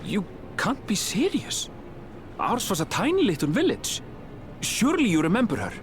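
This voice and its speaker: A man's voice: high voice, wildly swooping pitch, moderate pace, background noise, pitched above the performer's own voice. A man voicing an earnest young man anxiously searching for family, reading emotional video game dialogue. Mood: anxious, disbelieving. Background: game music.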